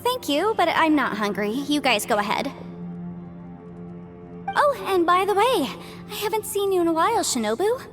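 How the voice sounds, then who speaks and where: A bright-spirited young woman adviser in a video game. She is chatty and expressive, high in pitch with lively swings, over faint music. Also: moderate pace, long pauses. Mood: cheerful. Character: cheerful, articulate young woman legal adviser, upbeat.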